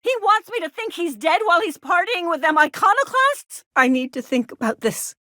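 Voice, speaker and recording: Middle-aged frontier mother, very high voice, doting and overprotective, melodramatic, folksy, dry close recording, video game dialogue. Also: big pitch swings, moderate pace, sharp tone, no echo, full character voice. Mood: hurt, disbelieving.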